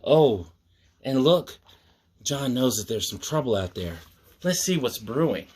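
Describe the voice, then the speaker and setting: A man hosting storytime, reading a picture book aloud with mock-earnest asides in a measured storyteller's manner. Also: mid-range voice, sing-song, darkish tone, husky.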